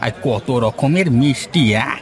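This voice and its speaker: A man's voice: medium pitch, expressive, moderate pace, close to an everyday voice. A man voicing a good-natured village uncle in a cartoon, speaking in a homely, placating, folksy way. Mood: genial, curious. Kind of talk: cartoon voice acting. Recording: faint music behind the voice.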